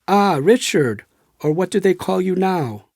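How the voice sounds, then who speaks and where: A man voicing a friendly, enthusiastic American devotee in audiobook dialogue, with a warm, animated, admiring manner. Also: high voice, unhurried, no room sound, clean studio sound, pitched above the performer's own voice, exaggerated voice. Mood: friendly greeting.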